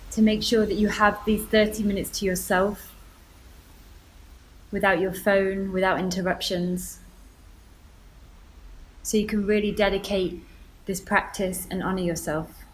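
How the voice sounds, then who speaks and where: Woman doing guided meditation, gentle and even, mid pitch, pauses between instructions, bit of background noise. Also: rough recording.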